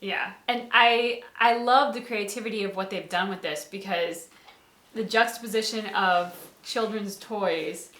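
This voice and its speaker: A woman's voice: medium pitch, measured pace, a bit bright. A woman giving casual, conversational commentary as co-host of a reaction video. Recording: echoey room.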